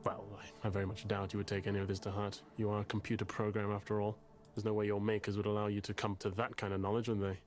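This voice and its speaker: A man's voice: fairly low pitch, completely flat delivery, quick pace, slight background noise, close to an everyday voice. An actor playing a nervous, self-pitying lonely man in a visual novel, speaking formally and hesitantly with fussy, wordy phrasing. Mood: doubtful.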